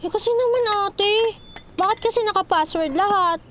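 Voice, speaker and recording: A woman's voice: very high-pitched, normal speed, fairly bright tone, a little hiss or hum. A woman playing a whiny, defensive schoolgirl younger sister in a radio drama, with a Filipino accent.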